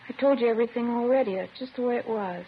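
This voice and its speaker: A woman's voice: a little high, quick pace, lightly acted. A woman playing a sullen, defensive schoolgirl in a 1950s radio crime drama, petulant and emotionally detached. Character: sullen, defensive, childlike schoolgirl confessing to police. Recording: muffled, noisy old 1950s radio recording.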